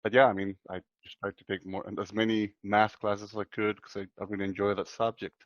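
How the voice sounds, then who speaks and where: A man from Chile in his thirties, talking in a casual interview as the guest, soft-spoken and hesitant with many fillers. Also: on the low side, level tone, a little fast, no room sound, muffled recording. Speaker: guest computer scientist.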